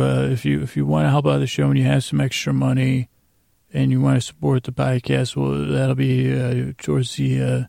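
A man with a heavily creaky, gravelly voice rambles through a bedtime podcast. His delivery is flat and droning, wandering off and circling back with halting repeats. The tone is dark and mellow. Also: mid-range voice, dry recording, studio quality. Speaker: podcast host.

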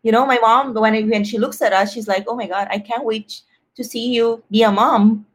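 A woman's voice: fairly high pitch, fairly even intonation, normal speed. A woman talking casually and personally as a podcast guest, expressively acting out her own thoughts as quotes.

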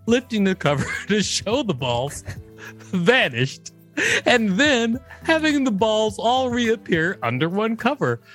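A man's voice: high-pitched, a bit bright. A male podcast co-host reading an encyclopedia article aloud, with an amused, casual manner.

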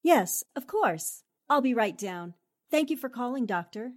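Woman playing a high-voiced, animated lady reporter. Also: a little slow, noisy background. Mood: intrigued. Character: brisk, eager, commanding female newspaper reporter. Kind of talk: 1940s radio drama acting.

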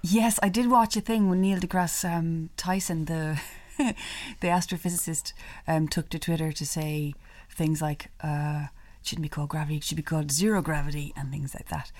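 Chatty woman co-host, low-pitched voice. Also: fairly even intonation, normal speed, a little mellow. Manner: chatty, laughs often, hesitant restarts. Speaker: podcast co-host. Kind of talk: casual chat.

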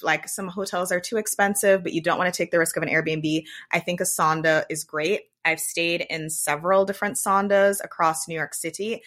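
A woman's voice: lowish voice, fairly bright tone. A woman from Jamaica with a light Jamaican accent, co-hosting a podcast in casual chat and explaining things at length. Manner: explains at length, laughs often. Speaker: podcast co-host.

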